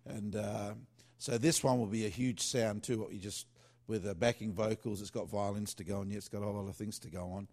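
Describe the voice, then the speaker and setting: A man with a fairly low voice talks about his music in a relaxed, unscripted way. He rambles, trails off and starts over, filling gaps with "uh". It sounds like a worship singer-songwriter chatting to listeners. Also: brisk, few pauses. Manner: loose and chatty, with many fillers and restarts. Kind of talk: casual rambling talk.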